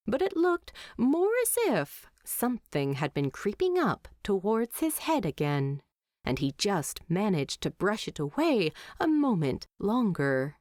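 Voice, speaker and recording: Unhurried, expressive woman storyteller. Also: mid-range voice, dry recording, clean studio sound. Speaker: narrator. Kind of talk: scripted story narration, expressive.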